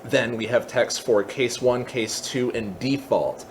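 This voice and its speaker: A man giving a casual software tutorial, explaining each step in an informal, candid way as he thinks aloud. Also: mid-range voice, unhurried, slight background noise. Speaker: tutorial host.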